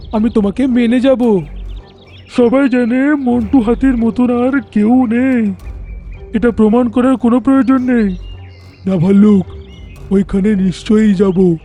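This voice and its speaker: An earnest talking animal from a cartoon fable, acted by a man. The voice is very high yet mellow, with a declamatory delivery. Music plays faintly behind. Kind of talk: cartoon dialogue acting.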